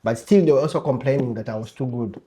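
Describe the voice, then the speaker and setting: A man's voice: mid-range voice, fast, dark tone. A man from Uganda with a Ugandan accent, telling his own story conversationally as an interview guest and repeating phrases for emphasis. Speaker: interview guest, table tennis player and coach.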